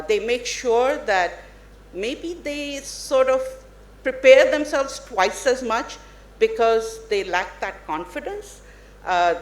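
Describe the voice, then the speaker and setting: A woman speaking on a conference panel, earnest and persuasive, pausing to think as she talks. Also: medium pitch. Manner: earnest, persuasive, thoughtful with pauses. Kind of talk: panel discussion. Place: conference hall. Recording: echoey conference hall with faint noise.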